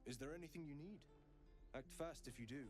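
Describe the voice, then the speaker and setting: An actor playing a courteous, resigned druid in a fantasy video game, speaking in a polite, measured, pious manner. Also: male voice, a little high, close to an everyday voice. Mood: courteous, flustered. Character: courteous, resigned male druid of a secluded grove. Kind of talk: dramatic video game dialogue. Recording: loud music behind the voice.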